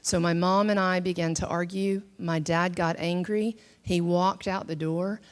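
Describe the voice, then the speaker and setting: A woman's voice: fairly low pitch, steady tone, a little slow. A middle-aged woman from the southern United States gives a conversational church teaching and shares her testimony, with many fillers and restarts. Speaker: speaker at a church teaching session. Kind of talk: teaching talk mixed with personal testimony.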